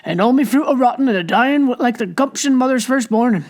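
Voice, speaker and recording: A woman voicing a doleful old male villager in his seventies with a rural English dialect and a slow, mournful drawl. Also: on the high side, expressive, a little mellow, pitched above the performer's own voice, natural voice. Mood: grumbling.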